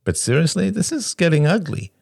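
A male reader performs an awkward man who tries to calm his friends. He sounds uneasy and pleading, in a dry audiobook recording. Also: on the high side, a little fast, creaky, breathy edge, no room sound, voice pushed up, exaggerated voice. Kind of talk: dramatic audiobook character dialogue.

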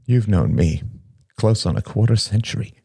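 A male audiobook reader acts out a wounded, bitter man who needles his friends. He starts softly and swings up into agitated, sardonic jabs. The sound is dry and close. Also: lowish voice, normal speed, vocal fry, breathy edge, dry recording, voice pushed up. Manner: soft-spoken turning agitated and sardonic. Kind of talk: dramatic audiobook character dialogue.

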